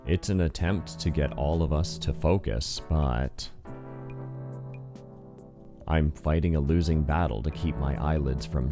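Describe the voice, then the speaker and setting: A man reading scripted first-person narration for a visual novel in an introspective, wry manner. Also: rumbling low. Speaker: narrator and voice actor.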